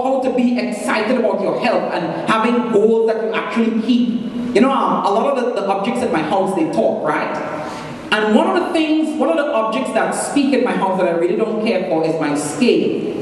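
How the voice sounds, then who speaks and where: A man with a Trinidadian accent giving a punchy, joking motivational talk to an audience, repeating phrases for emphasis. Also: sits high. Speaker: motivational speaker. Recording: echoey hall from far off, noisy.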